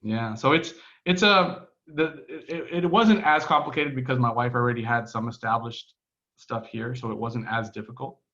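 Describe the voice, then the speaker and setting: A man's voice: mid-range voice, normal speed, pushed and bright, lo-fi sound. A man from Texas with a Texan accent, a podcast guest telling his story in a casual, rambling way with many restarts and fillers. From Texas, United States. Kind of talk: casual conversational interview. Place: home in Barcelona, on a remote call.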